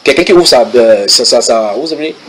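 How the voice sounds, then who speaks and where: A man preaching a sermon to a congregation, reading English passages aloud and translating them with lively asides to his listeners. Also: medium pitch, a little fast, crowd noise. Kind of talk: sermon teaching.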